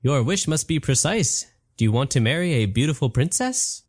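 A man voicing a smug, matter-of-fact male genie who talks slowly and calmly. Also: medium pitch, no room sound, clean studio sound, close to an everyday voice.

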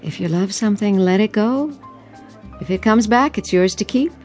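A woman acts as a disappointed fiancée in a radio play. Her medium-pitched voice is cool, dry and clipped, full of quiet resolve. Faint music plays underneath. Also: moderate pace, lightly acted. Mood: resigned. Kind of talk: audio drama acting.

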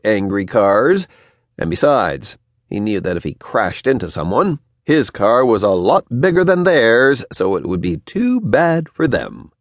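A man narrating a children's story from a script, with expressive, deliberate storyteller phrasing and emphasis. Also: fairly low pitch, dry recording. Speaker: audiobook narrator.

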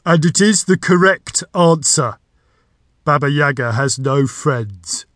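A man voicing a talking magic door from a storybook, a gruff, self-important gatekeeper with a booming gravelly voice. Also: animated, slow, fairly bright tone, no room sound, studio quality. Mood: stern, commanding. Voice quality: deep, gravelly.

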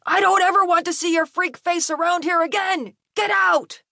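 A woman voicing a drunken, abusive father, shouting belligerently at his son in an audiobook story. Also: extremely high pitch, fairly even intonation, moderate pace, vocal fry, no echo, clean studio sound, pitched above the performer's own voice, full character voice. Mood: furious. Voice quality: shouting, forceful.

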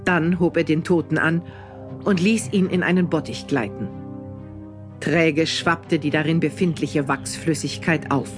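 A man's voice: on the high side, steady tone, a little slow. A man narrating a horror audio drama as a scripted storyteller. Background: music.

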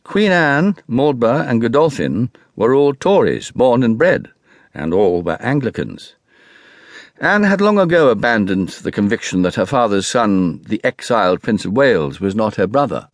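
A man with an English accent giving a formal, measured, oratorical reading of literary history as a narrator. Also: mid-range voice, very animated, no room sound, studio quality.

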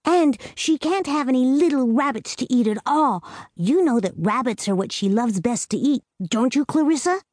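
A woman's voice: sits high, expressive, normal speed, a little mellow, no room sound, studio quality, voice pushed up. A woman reading a storybook, voicing an earnest, commanding little girl who lays down rules. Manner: earnest, commanding, a bit solemn. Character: bossy imaginative little girl Amy.

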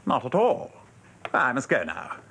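Radio drama: jaded English adman, dry and cynical, mid-pitched husky voice. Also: wildly swooping pitch, measured pace, fairly bright tone, heavily acted. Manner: dry, sardonic, world-weary. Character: jaded, cynical male advertising office old hand. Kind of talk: British radio drama acting.

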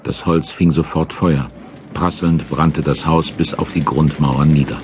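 Very deep-voiced male narrator, dramatic. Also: steady tone, a little slow, background noise. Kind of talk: dramatic scripted audiobook narration. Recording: narration over battle sound effects.

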